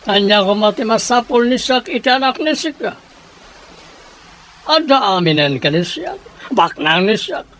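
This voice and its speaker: A man's voice: high-pitched, animated, unhurried, pauses often, fairly bright tone, poor audio. A man playing a domineering, scheming rich Señor who speaks in broken, choppy phrases in an audio drama. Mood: scornful, demanding. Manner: broken, choppy phrasing, refers to self in third person. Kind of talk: Ilocano audio drama acting.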